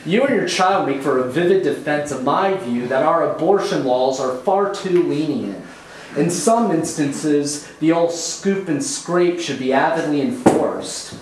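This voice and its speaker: A man's voice: fairly high pitch, measured pace, natural voice. A man playing a crude, sneering middle-aged fat passenger who talks bluntly, in a very echoey room. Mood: venomous. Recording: very echoey room, faint hiss.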